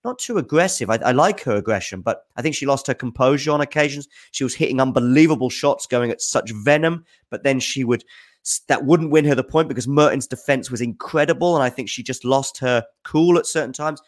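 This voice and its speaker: A man with an average-pitched voice talks through viewer questions as host of a sports show. He thinks out loud, speaks off the cuff and sounds keen on the players he is discussing. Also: a little fast. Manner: thinks aloud, off-the-cuff and enthusiastic. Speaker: tennis news host answering viewer questions. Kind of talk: casual spoken commentary.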